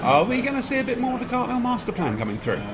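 A man's voice: very high voice, huge pitch swings, very fast. A man interviewing as a podcaster at a bookshop signing, asking eager fan questions. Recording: loud crowd noise behind.

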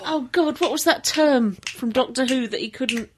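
A woman in casual banter as a podcast panellist, playful and quick to joke. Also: high voice, noisy background. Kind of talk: casual banter and fan chat.

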